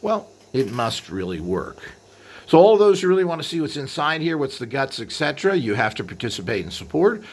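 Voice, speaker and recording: A man hosting a video with an unscripted explanatory monologue, rambling and halting as he trails into asides. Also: medium pitch, sing-song. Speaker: video host. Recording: clearly audible background noise.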